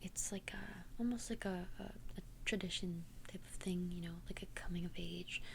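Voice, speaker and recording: A woman playing an immortal young vampire in an ASMR roleplay, confiding tenderly in a breathy whisper with a hesitant, earnest manner. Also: on the low side, a little hiss or hum. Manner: hesitant, halting, earnest confession.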